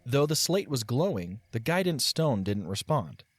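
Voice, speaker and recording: A man narrating an audio drama in a measured, expressive storybook style. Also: medium pitch, no echo.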